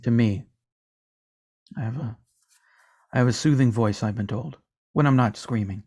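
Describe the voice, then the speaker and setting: A man co-hosting a laid-back music podcast, trading jokes with his partner and reading listener comments aloud. His pitch sits at an ordinary middle height for a man. He talks in a loose, chatty way, full of asides. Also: moderate pace, dark tone. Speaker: podcast co-host. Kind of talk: casual podcast banter.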